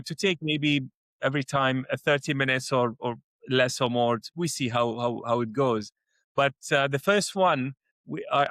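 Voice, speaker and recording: A man's voice: mid-range voice, a bit bright, no echo. Middle-aged male educator from Iraq, hesitant chatty delivery, close dry mic. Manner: hesitant, many restarts and fillers. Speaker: educator, co-host. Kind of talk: conversational dialogue.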